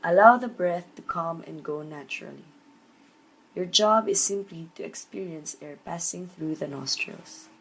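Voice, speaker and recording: A woman leading a guided meditation, giving measured instructions with pauses between phrases. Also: lowish voice, husky, rough recording. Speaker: meditation teacher.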